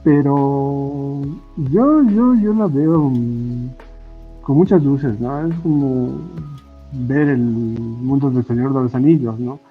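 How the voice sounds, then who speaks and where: Man, Bolivian accent, slow pace, halting, frequent question tags, casual podcast guest, muffled sound, background music. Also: medium pitch. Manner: halting, ends phrases with tag questions. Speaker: podcast guest, comic artist and illustrator.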